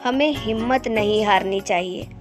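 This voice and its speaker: A woman voicing a hopeful, earnest farmer's wife who gently persuades in a moral-story cartoon. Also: medium pitch, normal speed, lightly acted. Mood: hopeful, encouraging. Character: hopeful, encouraging poor farmer's wife, adult village woman. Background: background music.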